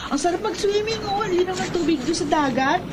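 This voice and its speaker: Young sassy radio drama girl, really high voice, teasing and needy. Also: animated, fairly quick, a loud crowd. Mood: playful impatience. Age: young adult. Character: sassy, attention-hungry young woman, secret illegitimate daughter. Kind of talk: Filipino radio drama acting.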